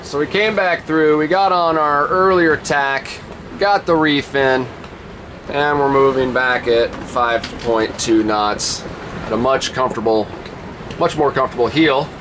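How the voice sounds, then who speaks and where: A man in his thirties talking casually to a handheld camera, at a middle pitch for a man. He calls out instructions to his crew between remarks. Loud wind and boat noise roar around him outdoors. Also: unhurried. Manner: relaxed, talks while steering and giving crew instructions. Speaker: sailing vlogger. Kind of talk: casual vlog narration. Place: sailboat cockpit and docks outdoors. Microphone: handheld camera at arm's length.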